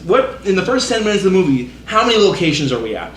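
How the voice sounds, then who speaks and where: Guy with highish voice ranting about films, swears loads, really emphatic, echoey room w faint noise. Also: big pitch swings, fast talker, a bit bright. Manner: profane, exasperated, emphatic, repeats phrases for effect. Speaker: podcast co-host giving a film rant. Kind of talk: casual ranting movie review among friends. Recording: roomy echo, faint background noise.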